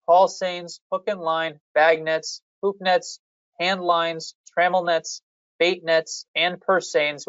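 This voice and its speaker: Staff presenter reading thru slides, measured, dry muffled audio. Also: medium pitch, fairly bright tone, dry recording. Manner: measured, methodical, explanatory. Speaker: fishery management staff presenter.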